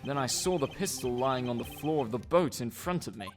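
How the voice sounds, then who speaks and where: A man voicing a serious, composed prosecutor in a video game fandub, speaking in a measured, earnest way. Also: mid-range voice, animated, music in the background, lightly acted. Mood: troubled.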